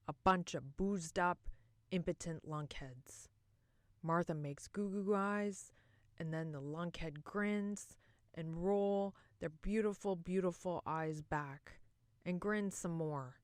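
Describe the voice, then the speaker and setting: A woman performing a theatrical monologue as a bitter, boozy middle-aged wife, mocking and self-loathing, with dramatic pauses and swings between loud and hushed. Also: low voice, dry recording, clean studio sound. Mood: scornful, disgusted.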